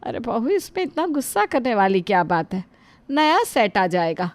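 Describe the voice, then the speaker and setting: A woman's voice: on the high side, very animated, moderate pace, no echo. A woman voicing an aging, put-upon mother-in-law in a family drama, who scolds and sighs to God about her treatment. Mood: pleading. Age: older. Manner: wounded, scolding, sighing to God. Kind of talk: Hindi family drama voice acting.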